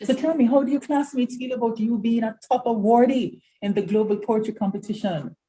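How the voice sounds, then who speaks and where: A woman moderating a panel discussion, explaining points clearly and with emphasis. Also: fairly high pitch, fairly quick, warm tone, poor audio.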